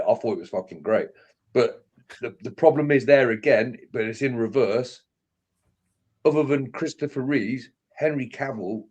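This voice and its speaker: A man's voice: medium pitch, a little mellow. A man chatting casually about pop culture, insistent and sweary, restating himself to make his point.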